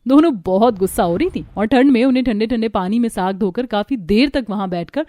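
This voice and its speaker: A woman's voice: on the high side, lively intonation, brisk, dark tone, no echo. A woman reading a scripted cartoon story as its narrator.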